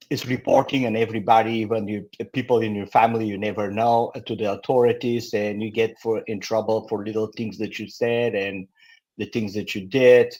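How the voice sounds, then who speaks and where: A middle-aged or older man with a Bulgarian accent, speaking candidly and haltingly as he tells his story as an interview guest. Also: fairly low pitch, darkish tone. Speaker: guest, company founder.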